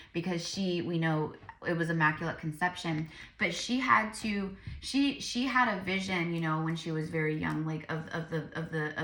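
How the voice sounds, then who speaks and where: A woman with a lowish, bright voice gives a casual tarot reading. She sounds tired and a little crackly, rambling along as she addresses her viewers directly. The room adds a noticeable echo. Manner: informal, rambling, addressing viewers as "you guys". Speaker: psychic medium and tarot reader.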